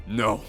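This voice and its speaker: An actor playing a nervous, submissive henchman in an audio drama, hesitant and deferential. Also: a bit bright. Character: frightened, submissive male getaway-driver henchman.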